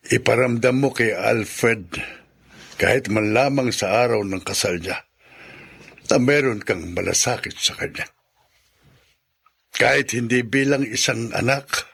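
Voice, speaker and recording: An actor playing a grave, compassionate middle-aged elder brother in a radio drama, pleading solemnly in a gravelly, husky voice. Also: male voice, mid-range voice, a bit bright, heavily acted. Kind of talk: Filipino radio drama acting.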